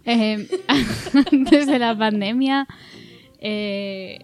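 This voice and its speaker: A woman's voice: a little high, very slow. Woman guest, frank and giggly, light music bed. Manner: laughs easily, candid. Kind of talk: casual chat.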